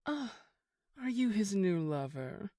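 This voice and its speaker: A woman playing an unflappable reformed criminal ex-girlfriend in an audio drama, measured and dryly sardonic. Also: mid-range voice, sing-song, mellow, dry recording, studio quality. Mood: teasing.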